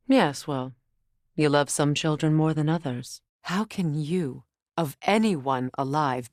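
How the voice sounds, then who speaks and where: A woman's voice: fairly low pitch, a bit bright, no room sound. A fierce goddess and mother from a fantasy video game. She is proud and wounded by grief, speaking with grave, commanding intensity in a close, dry recording. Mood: weary, sorrowful, then bitter, intense.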